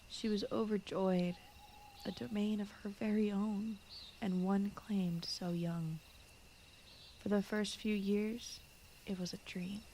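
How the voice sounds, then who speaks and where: Slow, hushed fox spirit voiced by a woman. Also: mid-range voice, slight background noise. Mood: soothing, storytelling. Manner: soft-spoken, often slipping into whispers, rambling, apologetic. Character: gentle female fox spirit, shy forest guardian, soothing. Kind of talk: ASMR roleplay, bedtime storytelling. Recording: clear night cricket and forest ambience. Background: crickets, insects, night forest ambience.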